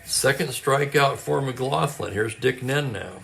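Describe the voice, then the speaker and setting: A man's voice: mid-range voice, vocal fry, sharp tone, a little hiss or hum. Play-by-play man calling a tabletop game. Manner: brisk play-by-play calls with stat asides. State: losing his voice, clearing his throat. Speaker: tabletop baseball replay announcer. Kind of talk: play-by-play commentary.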